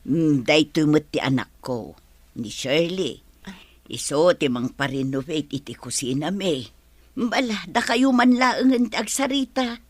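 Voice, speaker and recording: An actress with an Ilocano accent and a husky voice playing a practical, disapproving middle-aged mother in a radio drama. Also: low-pitched, unhurried, dry recording. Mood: matter-of-fact. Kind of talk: Filipino radio drama acting.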